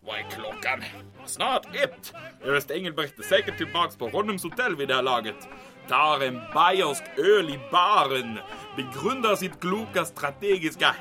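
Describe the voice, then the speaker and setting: An actor playing a vain, excitable German army major in an audio drama, pompous and gloating as he talks to himself. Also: fairly high pitch, over music. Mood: self-satisfied.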